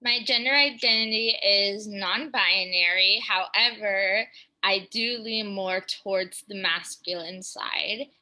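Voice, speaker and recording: A woman of twenty-one giving matter-of-fact interview answers in a measured way. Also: mid-range voice, bright, forceful tone, low-quality recording.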